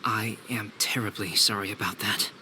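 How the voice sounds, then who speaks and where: A man playing a composed, courteous, scholarly young man in a video game, measured and formal. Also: on the low side, fairly even intonation, pushed and bright, slight background noise. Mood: calm, courteous.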